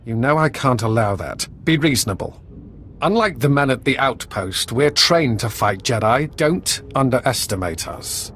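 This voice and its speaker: An actor playing a suave, cunning enemy agent who speaks in a calm, smooth, formal way. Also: male voice, medium pitch, normal speed, a little hiss or hum, natural voice.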